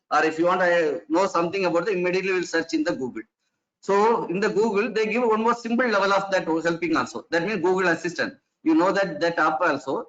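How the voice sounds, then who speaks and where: A man lectures in a fairly high voice, working through each point step by step and often restarting his sentences. He poses rhetorical questions to his listeners. The audio is dull and lo-fi, like a recorded online session. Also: a bit bright. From India. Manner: explanatory, repeats and restarts phrases, asks rhetorical questions.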